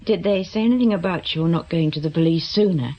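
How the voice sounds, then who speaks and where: A man's voice: fairly high pitch, fast, muffled recording, a little hiss or hum, lightly acted. A British man acting in a radio drama as a concerned, inquisitive visitor with short, direct questions. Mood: concerned, curious. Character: concerned, questioning close visitor of an injured investigator.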